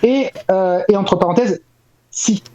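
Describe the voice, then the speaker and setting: Dull-sounding, high-voiced man, mellow tone. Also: a little slow, slight background noise. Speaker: show panelist. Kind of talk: casual chat, relaying news.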